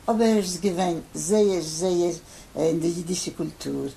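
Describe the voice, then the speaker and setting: An older man who grew up in Poland, his first language Polish, answering interview questions haltingly with many pauses and fillers. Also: sits high, gravelly, mellow. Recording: faint background noise.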